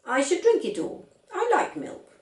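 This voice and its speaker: A woman's voice: high-pitched, huge pitch swings, normal speed, a little mellow, roomy sound, far from the mic, voice pushed up. A woman voicing a small, determined and scornful little girl in a storybook reading, emphatic and matter-of-fact.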